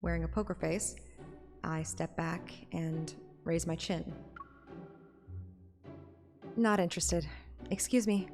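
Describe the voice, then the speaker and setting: A woman's voice: fairly low pitch, steady tone, slow pace, long pauses, a little mellow. A woman streamer narrating a game story in an audiobook style, with casual asides. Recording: reverberant, music under the voice.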